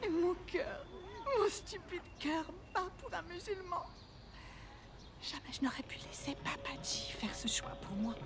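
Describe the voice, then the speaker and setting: A woman's voice: very high voice, sing-song, unhurried, full character voice. A woman playing an anguished young bride in a French film dub, pleading and emotional. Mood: tearful, pleading. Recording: music behind the voice.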